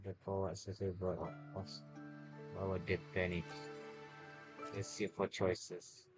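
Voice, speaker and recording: A man's voice: low-pitched, little pitch movement, slow. A man with a Malaysian accent chatting casually and offhandedly while streaming a game. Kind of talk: casual livestream chat over gameplay. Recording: game music behind voice.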